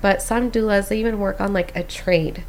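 A woman's voice: medium pitch, moderate pace, a little hiss or hum. A young woman from Costa Rica, a postpartum doula, telling her story in a casual chat, full of "like" and "um". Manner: animated storyteller, many "like"s and "um"s.

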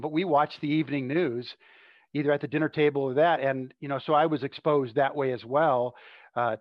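A man hosting an interview, asking questions in a relaxed, chatty way with plenty of filler words. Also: mid-range voice, no echo, muffled recording. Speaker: interview host. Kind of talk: conversational interview.

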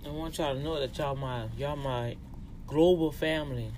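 A podcast host with a Louisiana accent who talks in a slow, deliberate teaching manner during a casual monologue. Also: medium pitch, fairly even intonation, darkish tone, a little hiss or hum. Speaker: podcast host and tax office manager.

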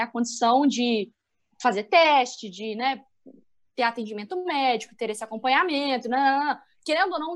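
A woman with a Minas Gerais accent, a sports journalist guest giving animated, opinionated views on a video call. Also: high-pitched. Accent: Minas Gerais Portuguese. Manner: animated, opinionated, thinks aloud with restarts. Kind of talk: casual video-call discussion.

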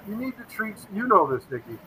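A man's voice: sits high, very animated, fast talker, bright, forceful tone, a little hiss or hum, low-quality recording. A man in his fifties telling stories in a casual interview, earnest and rambling, often quoting himself. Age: 50s. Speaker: paranormal investigator guest.

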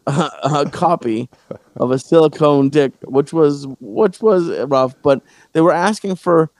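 Halting, stammering male podcast host. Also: a little high, darkish tone, dry recording. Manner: halting, many restarts and fillers. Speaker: podcast host and stand-up comic. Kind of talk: casual podcast chat.

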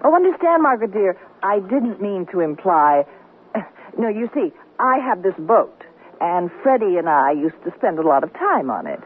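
A woman's voice: medium pitch, big pitch swings, moderate pace, natural voice. An actress playing a teasing, flirtatious single woman in an American radio comedy, with an arch, theatrical delivery. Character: flirtatious, teasing, sharp-tongued single woman who owns a boat. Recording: muffled noisy off-air radio recording.

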